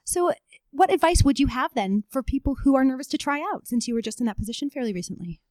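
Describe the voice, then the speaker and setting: A woman's voice: sits high, lively intonation, fast talker, darkish tone, no echo, clean studio sound. A woman interviewing a student group, upbeat and encouraging with frequent short affirmations. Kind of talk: casual interview.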